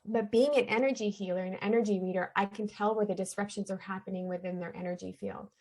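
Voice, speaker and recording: A woman's voice: mid-range voice, steady tone, quick pace, darkish tone, low-quality recording. A woman from Canada, a guest on an interview podcast, earnestly explaining her spiritual healing practice in a conversational way. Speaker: interview guest, shaman and energy healer.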